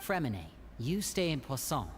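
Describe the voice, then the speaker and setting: A woman voicing a clever, composed young stage magician in a video game, smooth and theatrical with a protective streak. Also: extremely low pitch, very animated, normal speed, slight background noise. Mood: decisive.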